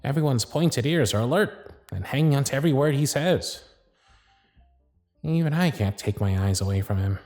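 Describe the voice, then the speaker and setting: Casting: male actor as gruff, fond elf boss for ASMR roleplay, sardonic, very lively intonation, dry close sound, music behind. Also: mid-range voice, normal speed, no echo, lightly acted. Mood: musing, admiring. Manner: bossy, teasing, sardonic.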